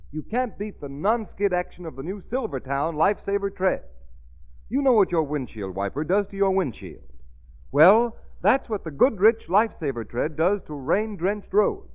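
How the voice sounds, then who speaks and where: A man's voice: high voice, sing-song, moderate pace. An American man announcing in a dramatic, emphatic vintage radio style. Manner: emphatic, dramatic, crisply projected. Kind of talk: 1930s-40s radio announcing and ad reading. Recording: tinny old radio recording with music.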